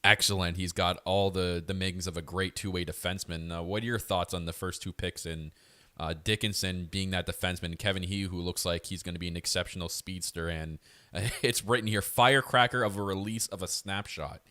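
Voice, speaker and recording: Man, dry close audio, very low pitch, sports co-host, casual, halting delivery. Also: steady tone, bright, forceful tone, dry recording.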